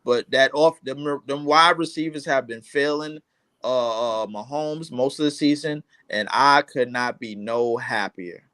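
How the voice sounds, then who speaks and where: A man leading a loose sports chat, blunt and quick to swear, often doubling his words. His voice is bright and pushed, recorded dry with no room sound. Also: mid-range voice, dry recording. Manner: blunt, profane, repeats himself when excited. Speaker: podcast host.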